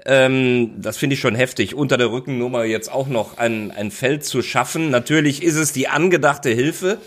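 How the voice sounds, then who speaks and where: A man's voice: mid-range voice, moderate pace. A man hosting a casual football talk, emphatic and opinionated, with frequent hesitant fillers.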